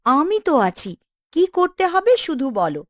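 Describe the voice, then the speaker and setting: A loyal, confident young village woman from a cartoon acted by a woman. She is reassuring and decisive, with a highish, very animated voice in a dry recording. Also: measured pace, dry recording. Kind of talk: Bengali cartoon dialogue.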